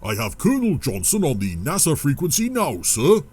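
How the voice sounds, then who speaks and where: A man voicing a brisk, self-important robot sergeant major in an audio drama, with clipped military address. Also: on the high side, wildly swooping pitch, darkish tone, faint music behind, voice pushed up. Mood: brisk, indignant.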